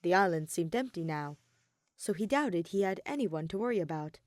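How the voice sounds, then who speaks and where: A woman's voice: lowish voice, animated, moderate pace, no room sound, studio quality. A woman reading scripted fan fiction as its narrator.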